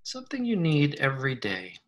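A man leading a guided meditation in a gentle, unhurried manner, leaving long pauses between short phrases. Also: mid-range voice, wildly swooping pitch, muffled recording. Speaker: guided meditation leader, psychologist. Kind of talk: slow guided meditation, soothing instruction.

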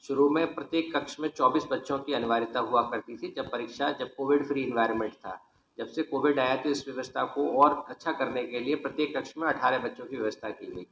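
A man's voice: mid-range voice, a little fast, fairly bright tone, dull audio. A middle-aged man giving a formal, methodical explanation as an exam coordinator, recorded in an office. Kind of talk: explanatory on-camera statement. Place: small indoor office. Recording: echoey room with faint noise.

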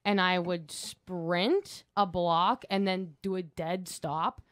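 A woman's voice: on the low side, steady tone, slow pace, a bit bright, slight background noise. A young woman on a podcast, telling a breezy story and laughing through it. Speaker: podcast guest. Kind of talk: casual storytelling.

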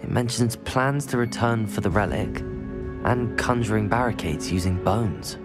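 An actor playing a polite, careful teenage wizard student, the hero of a fantasy game. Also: male voice, fairly low pitch, normal speed, music in the background, natural voice. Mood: cooperative, calm. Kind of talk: scripted fantasy game acting.

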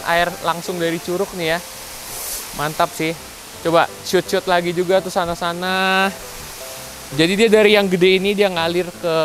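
A man's voice: high voice, fairly bright tone. Chatty young man vlogging outdoors. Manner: chatty, upbeat, addresses viewers as guys. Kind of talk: casual travel vlog narration. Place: outdoors at a waterfall resort.

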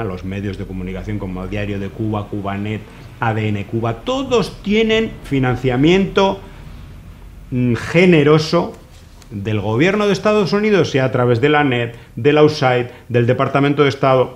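A middle-aged man speaks, his delivery sarcastic and mocking. He sits in a small office studio hosting a political commentary conversation. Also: mid-range voice. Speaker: host.

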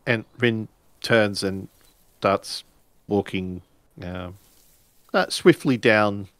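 A man narrates a tabletop role-playing story in a low voice. He goes slowly and haltingly, stopping mid-sentence as he makes it up, and the sound is dry. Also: fairly bright tone, dry recording. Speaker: tabletop role-play game storyteller or player. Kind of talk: improvised game narration.

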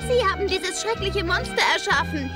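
A woman's voice: extremely high pitch, lively intonation, moderate pace, over loud music. A woman voicing a lovesick, boastful teenage schoolgirl heroine in an anime audio drama, whiny and dramatic. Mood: indignant, heroic. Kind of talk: German-dubbed anime radio play.

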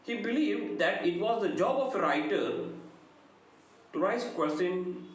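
A middle-aged man with a South Indian accent gives a prepared literature lecture in deliberate, measured short phrases. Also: high-pitched, animated. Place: indoor room, very reverberant. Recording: very echoey room, noticeable background noise.

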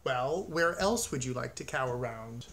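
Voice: mid-range voice, lively intonation, measured pace, vocal fry, fairly bright tone, a little hiss or hum, pitched below the performer's own voice. A reader voicing an exasperated, well-meaning male farmer in a picture book, coaxing and protesting. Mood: concerned. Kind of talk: picture book read-aloud character voice.

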